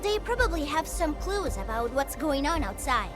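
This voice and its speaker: Young female game guide: bubbly, chatty, very high voice, animated delivery, music bed. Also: normal speed. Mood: cheerful. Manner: bubbly, informal. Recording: music playing behind the voice.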